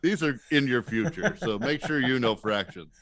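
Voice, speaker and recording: A man's voice: medium pitch, expressive, normal speed, a crowd in the background. A man chatting as a comedy podcast guest, wry and self-deprecating, acting out bits of dialogue as he tells stories. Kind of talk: casual comic storytelling chat.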